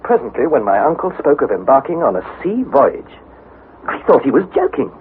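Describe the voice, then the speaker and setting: Young male adventurer-narrator, played by an actor, formal, highly animated delivery, vintage radio drama, tinny noisy recording. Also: moderate pace, natural voice. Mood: measured, wondering. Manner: formal, precise, dramatic storytelling. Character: Harry, earnest young adventurer narrating his perilous journey.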